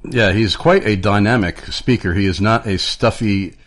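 A man with a somewhat low voice hosts a radio talk program. He speaks plainly and evenly, filling pauses with small hesitations, and reads out announcements and a guest's introduction. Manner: plain, measured delivery with frequent "uh" fillers. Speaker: radio show host. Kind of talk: radio interview.

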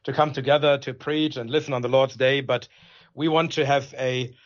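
A man's voice: medium pitch, fairly even intonation, moderate pace, muffled recording. A man from Germany, a native German speaker with a German accent, answering interview questions as a pastor in an earnest, explanatory way.

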